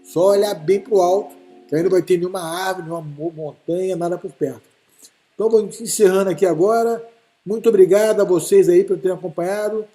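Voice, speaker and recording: A man's voice: high voice, a little fast, mellow, faint music behind. A man hosting a live stream, casually explaining space news to viewers with many fillers. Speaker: livestream host commenting on space news.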